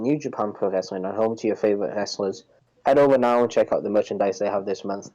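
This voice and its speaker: A man's voice: fairly low pitch, dark tone, highs cut off. A man co-hosting a podcast, talking casually and reading out sponsor messages. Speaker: podcast co-host.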